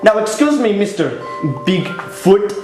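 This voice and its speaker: Man putting on a high-voiced, earnest Indian reporter. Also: big pitch swings, unhurried, a little mellow. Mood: coaxing, curious. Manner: broken English, rambling, earnest. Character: naive, chatty Indian TV field reporter moonlighting as telemarketer. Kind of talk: parody news field report. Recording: roomy echo, faint music behind.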